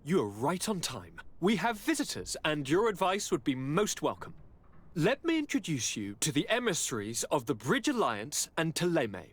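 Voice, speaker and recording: An actor playing a self-satisfied young aristocratic governor in a game, with florid, courtly, theatrical delivery. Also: male voice, a little high, huge pitch swings, moderate pace, breathy edge, exaggerated voice. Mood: gracious, delighted. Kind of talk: game cutscene acting.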